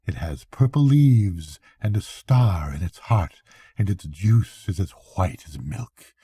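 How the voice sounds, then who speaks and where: Old guy doing a sly young witch from a storybook, coaxing chant, swoops up and down, soft dark tone. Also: low-pitched, animated, slightly rough, dry recording, full character voice. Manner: chanting, repetitive, drawn-out coaxing. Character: cunning young witch peddling dark spells, coaxing temptress.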